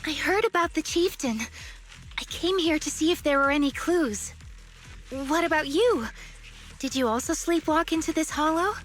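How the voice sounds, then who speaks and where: A woman voicing a cheerful, eager and friendly young adventurer in a video game, playful and expressive. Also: high voice, moderate pace, faint music behind, lightly acted. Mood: earnest.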